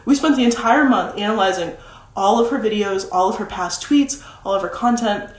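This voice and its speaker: A young woman with a deeper voice, chatting casually on a livestream as a content coach, with lots of "like" fillers. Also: normal speed. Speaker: social media content coach. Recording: roomy echo.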